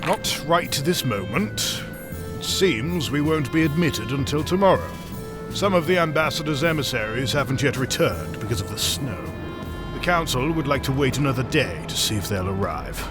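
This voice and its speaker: A man acts as a kindly knightly grandmaster in a fantasy audio drama. His mid-pitched voice moves with plenty of melody while staying reassuring and measured, with music clearly underneath. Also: animated, lightly acted. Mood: calm, explaining. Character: kindly, steady male grandmaster of a knightly order.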